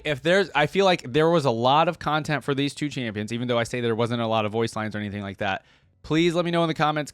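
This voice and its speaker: A man's voice: medium pitch, clear, resonant tone, no echo. A man hosting a reaction video, chatting casually and thinking aloud as he rambles on and addresses his viewers directly. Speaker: YouTube reaction host. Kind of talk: casual chatty game-lore commentary to camera.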